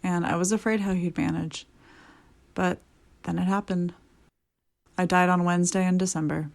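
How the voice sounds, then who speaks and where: A woman's voice: lowish voice, steady tone, moderate pace, creaky. A woman playing a poker pro's frank, tender late wife, telling her story in a candid, wry, understated way. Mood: wistful. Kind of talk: first-person scripted narration.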